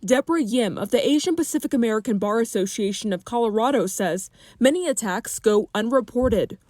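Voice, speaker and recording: Woman, news reporter, scripted voice-over, measured, steady, a bit high.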